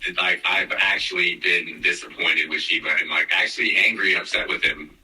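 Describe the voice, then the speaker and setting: Male podcast host, thirties, joking and teasing, somewhat low voice, roomy sound. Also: steady tone, fairly quick, pushed and bright, distant mic. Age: 30s. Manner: joking, teasing, laughs often. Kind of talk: casual podcast chat.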